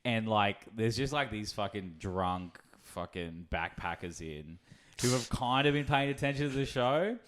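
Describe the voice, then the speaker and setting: Rambling, laughing podcast host. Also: male voice, lowish voice, expressive, unhurried, a bit bright. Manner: rambling storyteller, laughs while talking. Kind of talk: casual chat.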